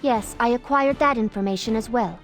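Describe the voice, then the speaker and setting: A woman's voice: a little high, moderate pace, soft background music, natural voice. A woman playing a stern, dutiful bodyguard and soldier in a video game, speaking formally and exactingly. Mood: serious, formal. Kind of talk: video game cutscene voice acting.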